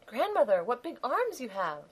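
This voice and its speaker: A man voicing a polite, trusting little girl in a storybook reading, with a high, bright, eager voice. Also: big pitch swings, fairly quick, exaggerated voice. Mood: wondering.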